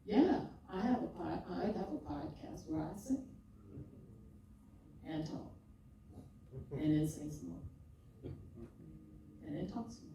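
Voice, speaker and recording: An older woman, a poet and podcaster, telling her story in an expressive, rhythmic way and often laughing, before a live audience. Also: on the low side, slow pace, vocal fry, pauses often, a little hiss or hum. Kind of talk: reflective conversational storytelling. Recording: echoey hall, mic far off.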